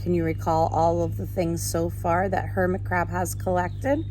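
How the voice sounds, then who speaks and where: A woman teacher reading a picture book aloud in an animated way, talking to children. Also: fairly low pitch, moderate pace, slight background noise. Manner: animated, addressing children with questions.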